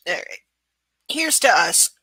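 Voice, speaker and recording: A woman's voice: lowish voice, very animated, takes it slowly, sharp tone, breathy edge, no room sound. A woman reading a novel aloud live from home on camera, breaking off for chatty, sarcastic commentary. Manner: sarcastic, chatty asides between read passages. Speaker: book reader and streamer.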